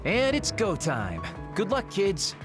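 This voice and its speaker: Casting: cocky male game hero, drawling, highish swooping voice, music behind. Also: huge pitch swings, slow pace, heavily acted. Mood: breezy confidence. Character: breezy, cocky, teasing adult male fighter. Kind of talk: anime-style video game voice acting.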